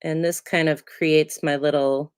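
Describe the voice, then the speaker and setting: A woman with a low voice talks through a card-making demo in a casual, rambling way, filling gaps with ums as she works. She sounds a bit congested from a lingering cold. The recording is close and dry. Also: a little slow, clean tone, no room sound. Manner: chatty, relaxed, many ums, talks while working. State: congested, getting over a cold. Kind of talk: casual crafting tutorial.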